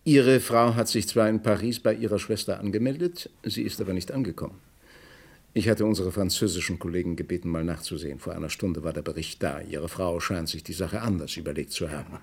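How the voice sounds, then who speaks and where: An actor playing a shrewd, dryly polite police inspector who questions insistently in a German radio crime drama. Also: male voice, deep voice, brisk, vocal fry, slightly rough, exaggerated voice. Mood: businesslike. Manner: dry, ironic, insistent questioning.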